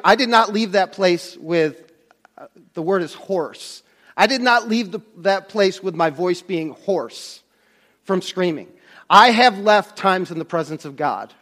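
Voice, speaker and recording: A middle-aged man preaching a conversational sermon from a church stage, earnest and halting, often restarting his sentences. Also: high-pitched, a bit bright. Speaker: pastor. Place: church stage under bright lights.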